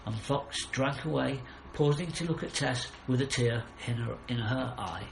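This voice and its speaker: A man's voice: medium pitch, moderate pace, gravelly. A man narrating a children's audiobook with dramatic storytelling, recorded in a roomy space. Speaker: author narrating own audiobook. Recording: roomy, faint background noise.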